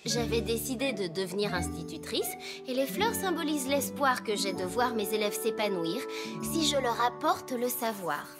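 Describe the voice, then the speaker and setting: A woman voicing a cheerful female pony schoolteacher in a cartoon dub, explaining things clearly, gentle but firm. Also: a little high, animated, normal speed, lightly acted. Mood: bright, instructive. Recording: music behind the voice.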